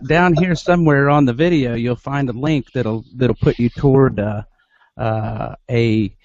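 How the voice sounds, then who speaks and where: A man's voice: medium pitch, darkish tone, no echo. A middle-aged man hosting a live group video call on a headset, talking loosely with restarts. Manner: loose, halting, with many restarts and laughs. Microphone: headset with headphones. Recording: dry, dull video-call audio.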